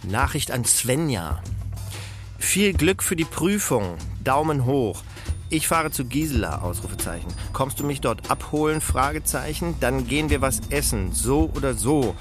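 A man's voice: mid-range voice, lively intonation, unhurried, music in the background, lightly acted. An actor playing a harried, blunt middle-aged father and career man in a naturalistic radio drama. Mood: brisk.

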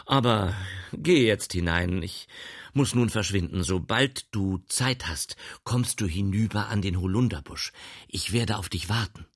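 A young man who speaks calmly and quietly, with a secretive air, voiced by a male reader. The voice sits low and moves slowly.

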